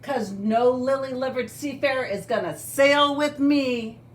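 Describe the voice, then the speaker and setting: A woman voicing a gruff, demanding male pirate captain with a stage pirate accent, bellowing theatrically in a storybook. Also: high voice, voice pushed up. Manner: bellowing, theatrical, drawn-out words.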